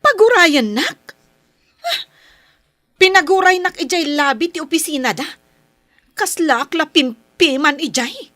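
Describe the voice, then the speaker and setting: A very high, swooping female voice: a sulky young Ilocano girlfriend in a radio drama. She whines and demands, quick to take offence and reproach. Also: lively intonation, sharp tone, no room sound, full character voice. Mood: sulky, upset. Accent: Ilocano, northern Philippines. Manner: whiny, sulking.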